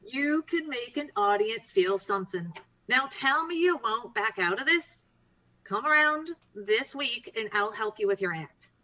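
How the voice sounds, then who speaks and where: A woman plays an encouraging, pushy young woman in a drama table read. Her voice sits a little high with a bright, emphatic edge, and she persuades in a casual way. The recording is slightly dull. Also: lively intonation, a little slow. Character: Riley, upbeat, pushy, encouraging young woman, best friend.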